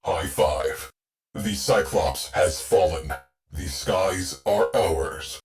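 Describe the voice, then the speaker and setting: Stoic game ship AI, very low voice, slow delivery, clipped orders, dry deadpan wit, military tactician. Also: male voice, faint music behind. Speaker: ship AI mission announcer. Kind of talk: scripted video game mission briefing.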